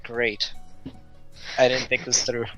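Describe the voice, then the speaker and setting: A man's voice: mid-range voice, flat delivery, slow, bright, forceful tone, faint music behind, rough recording. A man playing a tabletop game, speaking casually in a hesitant, flustered, self-deprecating way. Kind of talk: casual chat.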